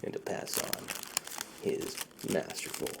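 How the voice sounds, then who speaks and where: A man playing a friendly, secretive underground ASMR dealer in a soft, breathy, half-whispered roleplay voice. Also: heavy background noise, close to an everyday voice. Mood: hushed, reassuring. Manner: slow, hushed, conversational.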